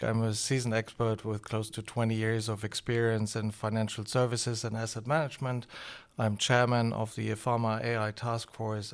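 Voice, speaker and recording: A man, a guest expert in an interview, introducing himself in a composed, fluent way. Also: on the low side. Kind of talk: casual interview talk.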